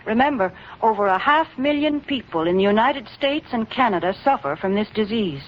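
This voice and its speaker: Earnest higher-pitched woman, 1940s radio announcer. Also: lively intonation. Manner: earnest, measured. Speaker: radio announcer and sponsor spokeswoman. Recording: muffled, hissy 1940s radio recording.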